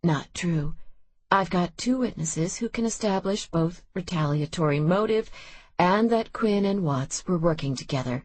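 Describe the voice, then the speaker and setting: A woman voicing a calm, impassive female prosecutor who speaks matter-of-factly and firmly. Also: fairly low pitch, a little slow, no echo. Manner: matter-of-fact, firm.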